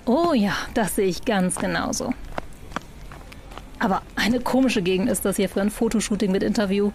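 A woman playing a sharp-tongued, affectionate fiancée in an audio drama. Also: mid-range voice, moderate pace, slight background noise, lightly acted.

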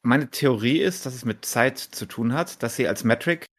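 A man's voice: medium pitch, normal speed, a bit bright. A man on a gaming podcast panel, casually explaining his views in colloquial speech mixed with English jargon.

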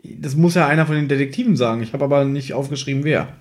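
A man's voice: medium pitch, fast talker, darkish tone. A man co-hosting a podcast, chatting casually and conversationally as he recaps an audio drama from his notes. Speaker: podcast co-host.

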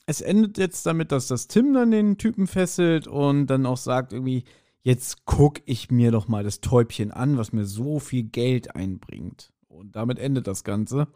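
A man with a medium-pitched voice chats as a podcast co-host. He comments and speculates on a story in loose, colloquial language with a wry touch. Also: warm tone. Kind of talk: casual chat commenting and speculating on an audio drama.

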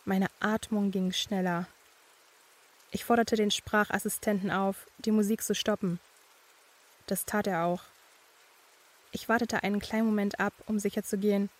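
A woman playing a young student who narrates her eerie experiences in a measured, scripted first-person style. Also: mid-range voice, darkish tone, lightly acted.

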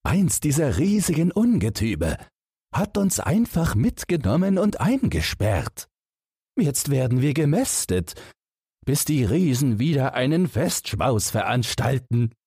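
A man's voice: medium pitch, very animated, measured pace, dark tone, slightly rough, dry recording, studio quality, voice pushed up, full character voice. A man voicing a miserable, pitiful male prisoner, lamenting plaintively in a fairy-tale audiobook.

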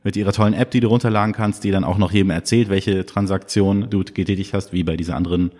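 Man, very low voice, from Munich, podcast guest, lively storyteller, chuckling. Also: quick pace. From Munich, Germany. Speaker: podcast guest, crypto shop founder. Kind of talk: casual podcast conversation.

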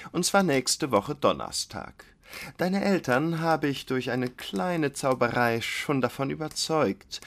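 A man's voice: a little high, vocal fry, fairly bright tone, exaggerated voice. A man playing a smug, sly evil wizard in a fairytale radio play, with a theatrical, teasing manner.

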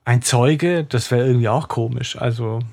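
A man with a husky, gravelly voice co-hosting a fan podcast. He talks hesitantly and thinks aloud with plenty of fillers, laughing often. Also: medium pitch, normal speed, darkish tone. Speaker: podcast co-host. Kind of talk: casual chat discussing a radio play.

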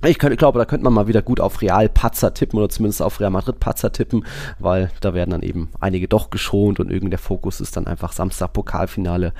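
A man's voice: low voice, fairly even intonation, fast talker, gravelly, warm tone, no echo, studio quality. A man chatting casually as co-host of a football podcast, in slangy, colloquial language.

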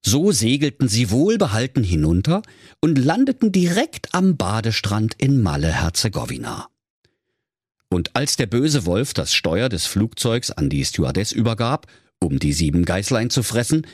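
A man's voice: on the low side, sing-song, a little slow, darkish tone, husky, no room sound, studio quality. A man telling a scripted comic fairy tale in a mock-solemn storybook manner.

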